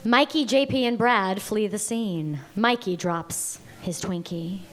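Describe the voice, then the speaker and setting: A woman reading the stage directions at a live table read in a measured, deliberate way. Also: medium pitch.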